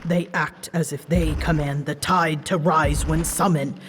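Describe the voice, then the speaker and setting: Slow, imperious dragon queen of storms voiced by a woman. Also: sits low, steady tone, in a large hall, slight background noise. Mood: scolding, pained. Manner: imperious, theatrical, measured, with hushed breathy phrases. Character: proud, scolding female dragon, sovereign of seas and storms. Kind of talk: scripted audio roleplay, ASMR drama.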